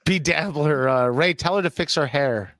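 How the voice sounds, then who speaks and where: A male podcast host with a slightly high voice reads out viewer comments. He teases his guest in a casual, easygoing way. His voice is recorded dry and close. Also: moderate pace, a bit bright, no room sound.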